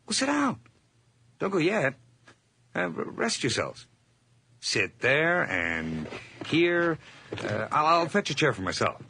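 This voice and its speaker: A man's voice: fairly high pitch, wildly swooping pitch, slow pace, gravelly, long pauses, bright, forceful tone, slight background noise, full character voice. An actor playing a nervous, over-polite hired man hiding guilt, stammering and effusive in an old radio horror drama. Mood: nervous, eager.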